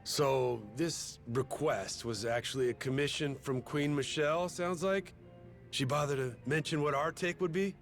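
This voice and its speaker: A man's voice: fairly high pitch, normal speed, soft background music, natural voice. An actor playing an easygoing, plain-spoken middle-aged father in a video game cutscene, talking casually. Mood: surprised, curious.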